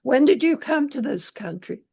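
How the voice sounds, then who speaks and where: Middle-aged woman interviewer, friendly and unhurried, slightly high voice with a gravelly fry. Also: expressive, darkish tone. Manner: friendly, laughs often, short interjections. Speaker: interviewer host. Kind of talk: casual conversational interview.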